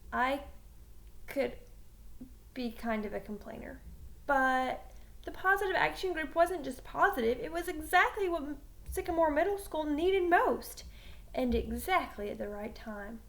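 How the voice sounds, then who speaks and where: A woman with a high, slightly creaky voice reads a first-person children's novel aloud. Her delivery is animated, and she leans on key words for emphasis. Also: a little slow. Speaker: teacher reading a novel aloud.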